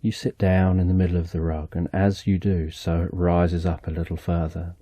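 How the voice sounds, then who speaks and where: Soft-spoken deep-voiced man guiding a relaxation story. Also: flat delivery, slightly rough, no echo. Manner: slow, soft, soothing, second-person guidance. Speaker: narrator. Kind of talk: slow guided relaxation story.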